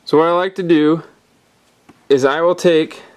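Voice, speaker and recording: A man calmly explaining step by step how to tie fishing knots, talking while his hands work at a table indoors. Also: a little high, unhurried. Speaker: fishing instructor. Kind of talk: hands-on knot-tying tutorial.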